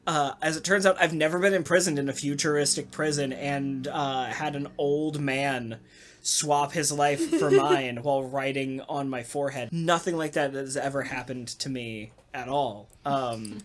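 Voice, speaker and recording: A man, a voice actor, chatting casually and jokingly in a halting, rambling way. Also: medium pitch. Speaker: voice actor on a podcast talkback. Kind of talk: casual chat.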